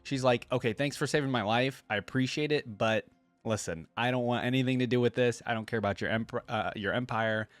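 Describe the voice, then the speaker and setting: A man's voice: mid-range voice, brisk, no echo. A man chatting informally as a reaction video host, musing and speculating as he goes. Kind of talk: casual chat, reacting to game lore.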